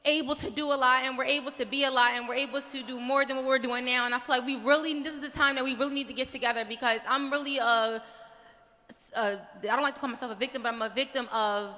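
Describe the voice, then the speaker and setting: A woman's voice: high voice, fairly even intonation, quick pace, bright, forceful tone. A woman in the audience giving an impassioned, rambling comment at a panel, searching for words between fillers. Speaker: audience member speaking at a panel. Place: large echoey hall. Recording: echoey hall with long reverb.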